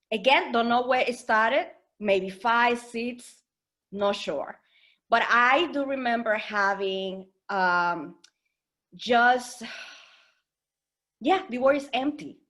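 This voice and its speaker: A woman from the Dominican Republic telling her personal story as a webinar guest speaker, hesitant and reflective, with many pauses and fillers. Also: on the high side, a bit bright. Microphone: video call.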